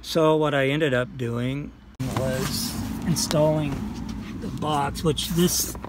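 A man's voice: on the high side, steady tone, background noise. A middle-aged man casually walking through a car radio install, talking plainly with fillers, filmed on a phone held close in and beside a small car. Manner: plain, unhurried, talks through each step with fillers. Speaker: vlogger showing a car install. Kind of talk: casual how-to walkthrough. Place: in a small car and outdoors beside it, tree-lined lot. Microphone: phone camera held close.

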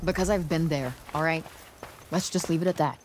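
A woman's voice: deep voice, fairly quick, slight background noise, lightly acted. A woman playing a sarcastic, streetwise young heroine in a fantasy video game, speaking casually. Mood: wry, guarded. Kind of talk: naturalistic video game acting.

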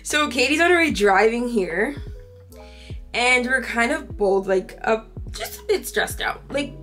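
A woman's voice: on the high side, animated, unhurried, fairly bright tone, faint music behind. A woman in her twenties chatting casually to camera as a vlogger, slangy and full of asides, recorded indoors at home. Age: 20s. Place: indoors in a furnished home room.

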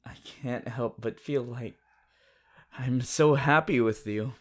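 A shy, lovestruck teenage boy hero from an anime, played by a man, speaks straight to the listener in a romantic roleplay. He is awkward and flustered, halting and stammering through his words at a very slow pace. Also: medium pitch. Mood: tender, happy.

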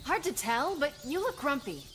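A woman's voice: sits high, big pitch swings, normal speed, very noisy. A woman playing a defiant young alien superhero in a video game, sassy and challenging. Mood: teasing. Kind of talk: video game character dialogue. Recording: music under dialogue.

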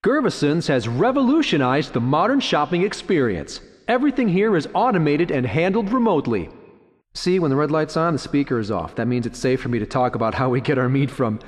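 A chirpy, bumbling supermarket announcer from a video game. The salesy patter is fairly high and very animated, ringing out with long reverb. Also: male voice. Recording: reverberant store announcement echo.

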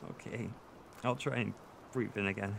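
A man playing an insecure, tearful boyfriend who seeks comfort in an intimate audio roleplay, speaking in a halting, stammering way. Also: fairly low pitch, steady tone, creaky, noisy background. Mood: calming, apologetic. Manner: halting, stammering, with nervous soft laughs. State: emotional, tearful.

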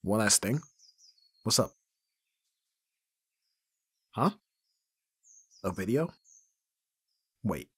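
A man's voice: on the low side, big pitch swings, drawn-out words, pauses often, fairly bright tone, breathy edge, no room sound, heavily acted. A man playing a flustered, awkward young best friend in an intimate ASMR roleplay, speaking hesitantly with sighs and stammers. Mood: puzzled, piecing together. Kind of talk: ASMR roleplay, one-sided intimate dialogue.

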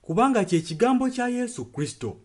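A man's voice: very high-pitched, big pitch swings, fairly quick, a little mellow. A Ugandan man interpreting a sermon earnestly, phrase by phrase. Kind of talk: consecutive sermon translation. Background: music.

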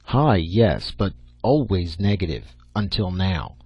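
A man's voice: low voice, sing-song, slow, darkish tone, slightly rough, no echo, heavily acted. A man voicing a crusty old professor in an audiobook, lecturing bluntly and with emphasis. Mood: grave. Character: old, crusty, commanding male professor and mentor. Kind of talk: audiobook character acting. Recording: dull lo-fi audio.

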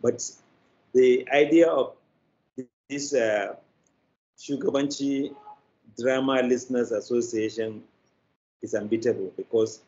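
An older man with a Nigerian accent telling anecdotes in an interview, choosing his phrases in a deliberate, halting way. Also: mid-range voice, fairly even intonation, mellow. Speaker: media producer, interview guest. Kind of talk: interview answer, anecdotal storytelling. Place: indoor room, on a video call. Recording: slightly dull video-call audio.

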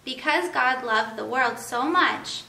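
A woman in her thirties hosting a family devotional, reading clearly and steadily in a living room. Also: sits high, lively intonation, takes it slowly, bright, forceful tone. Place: living room by a Christmas tree, indoors. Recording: roomy, distant camera sound.

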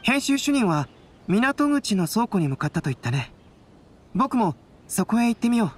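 A man voicing a calm, analytical young scholar-artist in an anime game, speaking in a composed, polite way. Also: high voice, moderate pace, slight background noise. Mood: thoughtful.

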